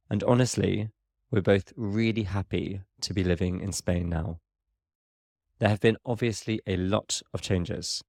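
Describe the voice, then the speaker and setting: A young adult man with a southern English accent, an English teacher hosting a learner podcast in a clear, measured, explanatory way. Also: deep voice, darkish tone, no room sound, studio quality. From England. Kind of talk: scripted podcast narration for learners.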